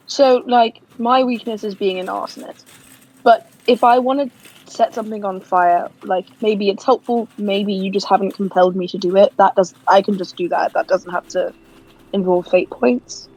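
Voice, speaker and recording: A woman's voice: medium pitch, fairly even intonation, normal speed, darkish tone, poor audio. A woman playing a tabletop game, talking casually and asking about the rules.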